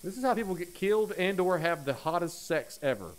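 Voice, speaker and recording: Male streamer, fairly high voice, very animated pitch, crude playful gameplay commentary, exaggerated delivery. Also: a little fast. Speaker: streamer playing a horror game.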